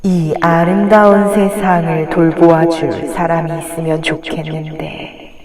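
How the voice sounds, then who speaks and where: A woman's voice: deep voice, flat delivery, echoey room, a crowd in the background, pitched below the performer's own voice. A woman voicing a solemn, commanding and benevolent God in a children's Bible story. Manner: slow, solemn, declarative.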